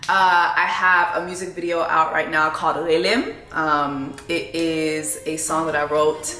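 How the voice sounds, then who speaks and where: A woman's voice: sits low, steady tone, a little slow, pushed and bright, reverberant. A young adult woman from Canada, a native Haitian Creole speaker, chatting casually and loosely to her viewers as a vlogger and TV co-host. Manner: chatty, loose, self-deprecating, rambling asides. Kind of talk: casual chat to camera.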